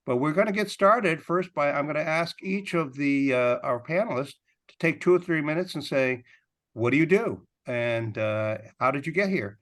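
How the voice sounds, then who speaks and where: A man moderating a video-call panel, who speaks haltingly with many fillers and restarts. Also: on the high side, a bit bright. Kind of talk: hesitant spoken introduction.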